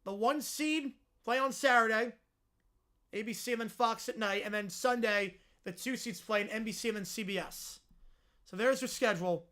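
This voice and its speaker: A man hosting a live sports reaction stream, chatting casually and answering viewers, his voice hoarse and worn out. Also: sits high, normal speed, pushed and bright. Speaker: livestream host.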